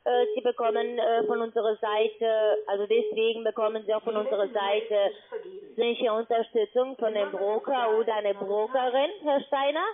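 A woman phone scammer posing as an advisor, speaking halting German and repeating the customer's name. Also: on the high side, fairly even intonation, crowd noise. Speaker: scam call-centre advisor. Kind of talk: phone sales pitch. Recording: tinny phone line.

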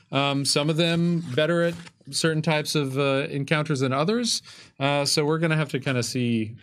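A man's voice: on the high side, fairly bright tone. A man in his thirties co-hosting a casual game presentation, with loose, hesitant phrasing. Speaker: game designer and co-host. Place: small curtained studio, seated at a table. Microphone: microphone on a boom stand.

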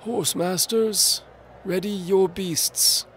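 A man voicing an imperious, raging duke who shouts his orders in an audio drama. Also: high-pitched, fairly even intonation, very slow, a little hiss or hum, pitched above the performer's own voice, heavily acted, poor audio. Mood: commanding.

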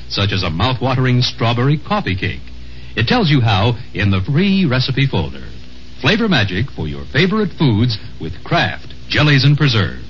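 Animated American radio announcer, 1950s style. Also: male voice, mid-range voice, slight background noise. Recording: muffled old radio broadcast, faint music.

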